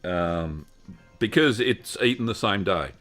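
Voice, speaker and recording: Deep-voiced older man. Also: lively intonation, a little slow, a bit bright, soft background music. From Australia. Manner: rambling, friendly, lots of ums. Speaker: host answering cheese-making questions. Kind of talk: live-stream Q&A chat.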